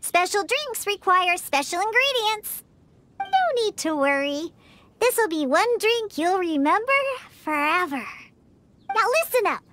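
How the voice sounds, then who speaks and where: A woman's voice: extremely high pitch, big pitch swings, measured pace, bright, forceful tone, exaggerated voice. A woman voicing a sassy, grumpy cat-eared little girl bartender in a video game, huffy and haughty. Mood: smug, confident. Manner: huffy, haughty, emphatic delivery. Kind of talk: scripted video game dialogue. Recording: music behind. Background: background game music.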